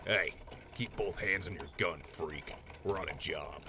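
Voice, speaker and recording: A man acting as a gruff, brutal slave-breaking raider in an audio drama, blunt, coarse and coldly threatening. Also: low voice, a little slow, noisy background.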